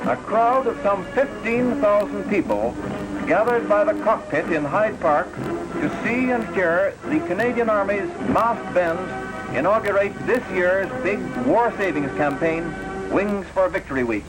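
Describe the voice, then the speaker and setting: A man's voice: sits high, animated, a little slow, rough recording. A man reading scripted 1940s newsreel narration in a formal, patriotic announcer manner, on an old film soundtrack. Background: loud newsreel music.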